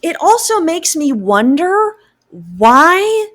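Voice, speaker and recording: A woman speaking as a guest fan writer in an enthusiastic discussion, at a measured pace. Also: very high-pitched, very animated, a bit bright.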